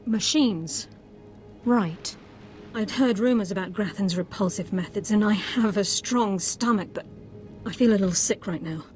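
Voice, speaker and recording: A woman's voice: medium pitch, measured pace, a little mellow, soft background music, close to an everyday voice. Woman acting a blunt, world-weary squad commander. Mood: grim. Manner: blunt, matter-of-fact. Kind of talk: video game drama acting.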